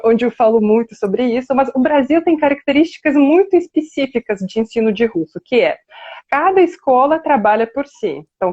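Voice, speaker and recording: A woman's voice: fairly high pitch, darkish tone, lo-fi sound. A woman researcher talking through a live video interview, conversational, with many fillers and restarts. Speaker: guest researcher.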